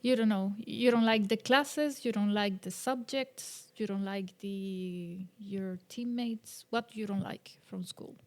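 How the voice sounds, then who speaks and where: A woman from Colombia, hosting a casual bilingual podcast chat and filling pauses with "um" and "uh". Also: medium pitch. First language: Spanish. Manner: relaxed, chatty, with many fillers. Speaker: podcast host.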